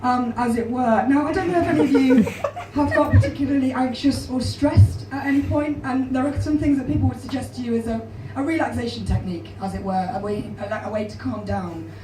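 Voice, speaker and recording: A young English woman with a high, croaky, hoarse voice delivers deadpan, self-deprecating stand-up. She is heard from far back in a small club, through its echoey house sound. Audience laughter rolls in between her lines. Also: fairly quick, few pauses, background noise. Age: 20s. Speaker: stand-up comedian. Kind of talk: stand-up comedy set. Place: small comedy club stage, live audience. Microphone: handheld stage mic, filmed from far back in the crowd.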